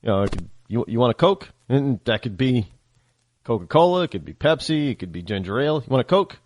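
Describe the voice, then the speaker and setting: A male radio host with a faint upstate New York accent talks in a loose, digressive way, often circling back and restarting his sentences. His pitch swings up and down a lot, and the studio sound is close and dry. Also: sing-song, a little fast, no room sound. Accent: central upstate New York. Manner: rambling, digressive, many restarts. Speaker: radio talk show host. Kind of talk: casual call-in radio talk.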